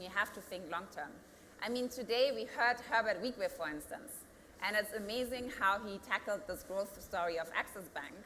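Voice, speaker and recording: A woman's voice: medium pitch, bright, forceful tone, long reverb tail, slight background noise. A woman in her thirties with a German accent, a company founder answering interview questions earnestly and rising to emphatic peaks. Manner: earnest, builds to emphatic peaks, thinks aloud with restarts.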